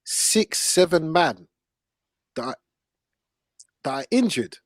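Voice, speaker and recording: A man's voice: a little high, big pitch swings, slow, dry recording, studio quality. A man hosting casual football debate, emphatic and slangy, repeating himself for stress. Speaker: football podcast host.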